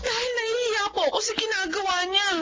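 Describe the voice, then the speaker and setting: Isang babaeng gumaganap sa dramang panradyo bilang apong nasasaktan at nahihiya sa kanyang ama, sa kulob na recording na may ugong. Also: female voice, very high-pitched, fairly even intonation, quick pace, sharp tone. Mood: hurt, tearful. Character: aggrieved granddaughter ashamed of her womanizing father. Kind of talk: Filipino radio drama acting. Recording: dull lo-fi audio, noisy hum.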